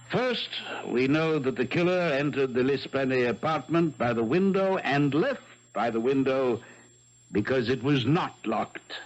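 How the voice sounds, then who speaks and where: Clever gentleman detective in an old radio play, measured n sure of himself, pauses a lot, bit muffled. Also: medium pitch. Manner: measured, didactic, pausing for effect. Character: brilliant, self-assured amateur detective gentleman in old Paris. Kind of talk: 1970s radio mystery drama acting. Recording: dull vintage 1970s radio broadcast.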